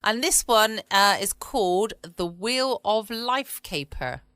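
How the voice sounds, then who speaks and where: An English woman chatting easily and rambling a little as she hosts from her home. Her voice is bright and forceful, set in a mid range and recorded close and dry, with no room sound. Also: mid-range voice, expressive, takes it slowly, smooth, dry recording. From England. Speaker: podcast host. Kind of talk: casual chat.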